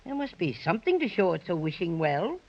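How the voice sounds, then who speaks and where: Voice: medium pitch, very animated, brisk. A performer playing a small, plucky, self-important toy bear in a 1930s children's radio drama. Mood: bossy, huffy. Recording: dull vintage 1930s radio recording.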